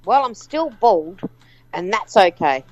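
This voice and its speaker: Chatty lady producer, bit husky tonight, dry close sound. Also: medium pitch, very animated, slow pace, a little mellow, no room sound, lo-fi sound. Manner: chatty, playful. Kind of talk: casual chat.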